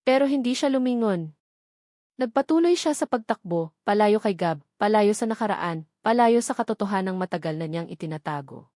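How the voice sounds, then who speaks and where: A woman's voice: mid-range voice, expressive, brisk, mellow, dry recording, studio quality. A woman reading scripted dramatic narration of a romance story in an emotive, theatrical storytelling manner.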